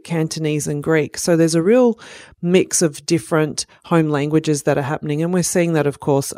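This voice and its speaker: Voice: mid-range voice, darkish tone, dry recording, studio quality. Speech pathologist teaching. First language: Italian. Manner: explanatory, with frequent "um" and "you know" fillers. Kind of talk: educational presentation.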